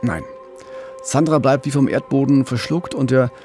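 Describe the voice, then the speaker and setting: A man's voice: mid-range voice, normal speed, a little mellow, soft background music. A man with a German accent, co-hosting a podcast and telling a true-crime case in a conversational way. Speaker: podcast co-host.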